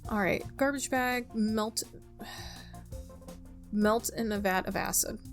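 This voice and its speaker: A woman's voice: a little high, slow pace. A woman hosting a gaming video, casually commenting and reading game text aloud in a chatty, playful way, close on the mic. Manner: chatty, self-talking, playful. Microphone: close microphone without pop filter. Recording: music behind the voice.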